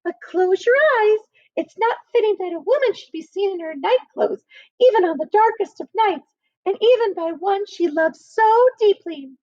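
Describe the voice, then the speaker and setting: A woman voicing a sly young man who fakes a tender woman's falsetto. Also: moderate pace, mellow, no echo, lo-fi sound. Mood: mock-tender.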